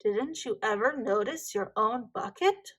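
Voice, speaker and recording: A woman voicing a patient, wise old grandfather who explains things gently and deliberately in a storybook. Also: a little high, expressive, breathy edge, full character voice. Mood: gentle, instructive.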